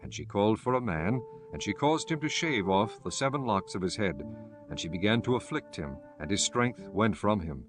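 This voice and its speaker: Casting: male narrator for dramatized scripture, lower register, light music bed. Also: expressive, normal speed.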